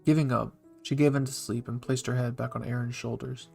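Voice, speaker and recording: Male fanfic narrator and channel host, steady, gravelly. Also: medium pitch, brisk, faint music behind. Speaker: fan fiction reader. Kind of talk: narrating a listener roleplay story.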